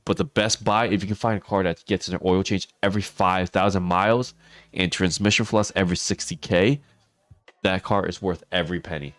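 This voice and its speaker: A hoarse man, chatting casually with viewers on a livestream and laughing often. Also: deep voice, steady tone, brisk, a bit bright, dry recording, soft background music. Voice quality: raspy. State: hoarse, losing his voice. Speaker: streamer.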